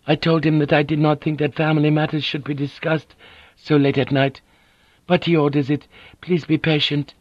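A man voicing a gentle, composed Japanese noblewoman who speaks softly and formally. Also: a little high, little pitch movement, normal speed, no echo, dull audio, pitched above the performer's own voice, natural voice. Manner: soft, formal, careful speech.

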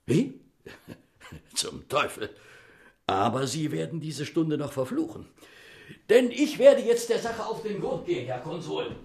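Zealous, theatrical detective for radio drama, highish voice, hugely animated, sly turning indignant. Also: male voice, a little slow, long pauses. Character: zealous, excitable male detective hunting a thief. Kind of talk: German radio drama acting. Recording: roomy studio echo.

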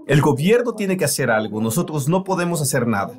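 A man's voice: fairly high pitch, sing-song, brisk. A man preaching a sermon in an earnest, emphatic way, voicing it as a translation.

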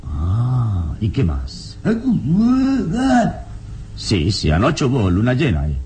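A confident adventurer in an old radio drama. His voice is very deep and richly varied in pitch, with measured, courteous persuasion. Faint noise sits on the recording. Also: big pitch swings, a little mellow, full character voice. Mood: curious. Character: calm, courteous, confident male adventurer hero.

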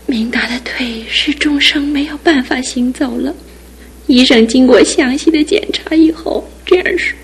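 A woman's voice: high-pitched, normal speed, slightly rough, heavily acted. An actress playing a young woman facing her fiancé's lasting injury, earnest and tearful, in a radio drama. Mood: resolved. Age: young adult.

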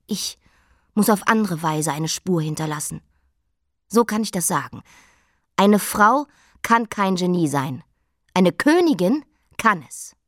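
A woman's voice: lowish voice, expressive, unhurried, pauses often, dry recording, clean studio sound. An actress playing a proud, imperious, cutting queen in a radio drama, haughty and declamatory. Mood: proud, musing.

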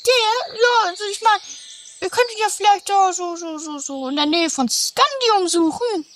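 A woman's voice: extremely high pitch, expressive, moderate pace, a bit bright. A woman playing a flippant, mischievous, mock-innocent oddball who stammers breezily in a trashy comedy radio play. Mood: sheepish. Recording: slightly noisy 1980s amateur radio play.

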